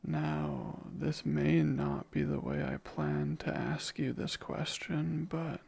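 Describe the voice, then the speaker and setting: A man plays a devoted, yearning demon bound to a master in an ASMR romance. The voice is fairly high for a male, husky and thick with gravelly creak. The words come slowly, courtly and intimate. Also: level tone, a little mellow, exaggerated voice. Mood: yearning, tender. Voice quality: husky, breathy with heavy creak. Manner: formal, courtly, murmuring.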